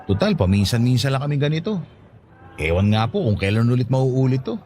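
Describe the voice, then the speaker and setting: A man's voice: medium pitch, very animated, a little fast, darkish tone, soft background music, natural voice. A man playing a grateful, playfully teasing younger married man in a Filipino radio drama, respectful and affectionate. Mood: casual, caring.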